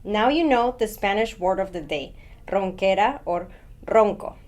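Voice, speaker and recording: A woman's voice: mid-range voice, lively intonation, measured pace, a little mellow. Woman doctor, friendly and instructive, scripted podcast segment. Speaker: doctor presenting a Spanish vocabulary segment.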